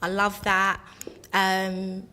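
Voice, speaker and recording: A woman's voice: medium pitch, completely flat delivery, drawn-out words, sharp tone, clear, resonant tone. A woman in her late twenties, a candid, chatty dating show contestant. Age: 20s. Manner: candid, chatty, filler-heavy.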